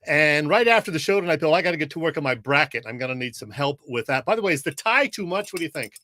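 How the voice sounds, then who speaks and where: A man's voice: fairly high pitch, expressive, fast talker, pushed and bright. A man co-hosting a sports podcast in a casual, chatty style. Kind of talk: casual chat.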